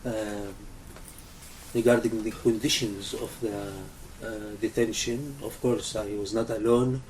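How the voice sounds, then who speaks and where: Halting man, distant and low-pitched. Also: little pitch movement, a little mellow. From Lebanon. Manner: halting, many "uh" pauses. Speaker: interview guest, activist coordinator. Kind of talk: interview answers. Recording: distant mic with faint ambient noise.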